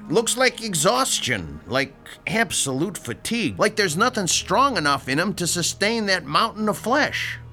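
A man's voice: high-pitched, very animated, a little slow, hardly any pauses, pushed and bright, faint music behind, exaggerated voice. An actor playing a grouchy, sarcastic male landlord who grumbles and talks bluntly, in an audio drama. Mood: musing.

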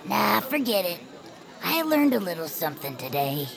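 Excitable, creaky-voiced young female bird. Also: lowish voice, moderate pace, a crowd in the background, poor audio. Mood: earnest, muddled. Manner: rambling, muddled earnestness. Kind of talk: audio fiction cartoon-style acting.

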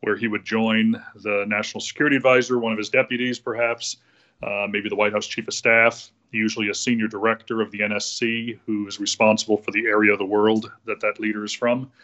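Through slightly muffled, band-limited audio, an American man gives explanatory interview answers. His voice is fairly low and very even, and he lays things out methodically with frequent hesitations, like a former official recalling procedure. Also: fairly quick.

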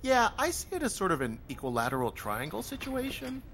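A man's voice: sits high, wildly swooping pitch, a little fast, fairly bright tone, a little hiss or hum, full character voice. A man playing a chirpy, corny office boss who nags with peppy politeness in an audio drama. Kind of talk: audio fiction acting.

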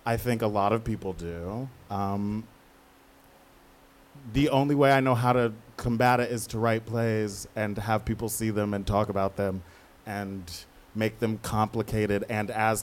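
A man with a fairly low voice speaks as a guest in an interview. He is candid and reflective, working out his thoughts as he goes and adding emphatic asides in a conversational way. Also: fairly even intonation, a little slow. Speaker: interviewed playwright.

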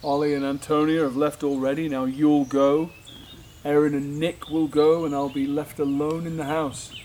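A man's voice: a little high, flat delivery, moderate pace, darkish tone, lightly acted. A man playing a sad, disillusioned husband in an audio drama, with a plaintive, confiding manner. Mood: melancholy. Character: melancholy, disillusioned brother and husband. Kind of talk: naturalistic audio drama acting. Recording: faint outdoor ambient noise. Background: birdsong, outdoor ambience.

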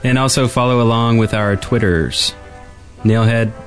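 A man's voice: mid-range voice, normal speed. A man with a mid-range voice chats casually as a podcast co-host. He is agreeable and speaks in short remarks, with faint music behind him. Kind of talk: casual chat.

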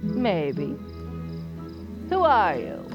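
An actress playing a prickly, pedantic reclusive novelist in an audio drama, curt and wordy with suspicion. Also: female voice, medium pitch, wildly swooping pitch, extremely slow, highs cut off, over music, heavily acted. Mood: wary, threatening.

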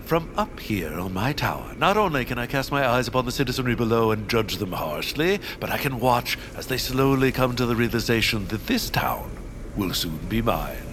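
An actor playing a grandiose, scheming villain who declaims pompously in a theatrical audio drama. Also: male voice, mid-range voice, very animated, moderate pace, background noise, natural voice. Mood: gloating, scheming. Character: grandiose scheming male villain, gloating tower owner. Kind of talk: theatrical audio drama acting with musical numbers.

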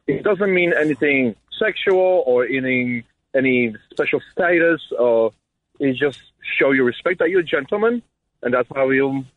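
Polish-accented caller on a phone line. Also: male voice, mid-range voice, animated, moderate pace, no echo. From Poland. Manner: hesitant, many fillers.

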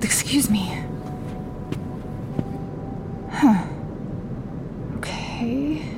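An actress playing a sleepless, uneasy woman investigator in a naturalistic audio drama, speaking haltingly. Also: expressive, gravelly, full character voice. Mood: uneasy, bewildered. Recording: loud rumbling airplane cabin noise.

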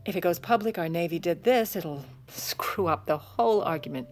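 A woman's voice: lowish voice, lively intonation, normal speed, natural voice. An actress playing a shrewd, sardonic First Lady who secretly steers the presidency in an audio drama. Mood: anxious scheming. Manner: dry, sardonic, conspiratorial.

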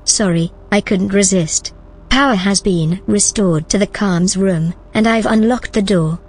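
Composed female helper, mid-pitched. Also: a little slow, darkish tone, husky. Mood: playful, helpful. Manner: composed, matter-of-fact. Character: calm, playful female helper guiding a stranded man. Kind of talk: audio drama acting.

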